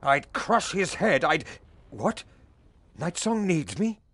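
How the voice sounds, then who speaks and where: A man's voice: on the high side, sing-song, takes it slowly, a bit bright, breathy edge, dry recording, exaggerated voice. An actor playing a proud, hot-tempered old father and clan leader in a fantasy game, speaking formally and emphatically. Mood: indignant.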